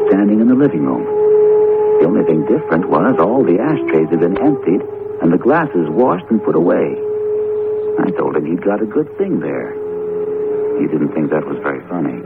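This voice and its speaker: A rational, wry academic in a vintage radio mystery. His very low voice is measured and dryly amused. It comes through a narrow, muffled old broadcast. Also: vocal fry, full character voice. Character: wry, rational male academic friend and narrator. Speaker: radio drama actor. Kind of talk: 1970s radio drama acting and narration.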